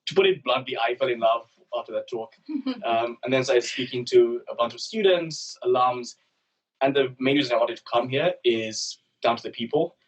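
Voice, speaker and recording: A man's voice: mid-range voice, normal speed. A young man from Uganda answering panel questions in a casual, enthusiastic way. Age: young adult. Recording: distant from the mic.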